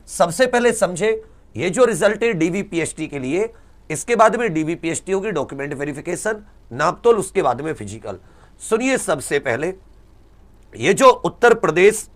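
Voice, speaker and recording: High-pitched, lively man explaining. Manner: emphatic, repeats points and asks rhetorical questions. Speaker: exam-prep teacher and presenter. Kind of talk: informal explainer of exam results to students.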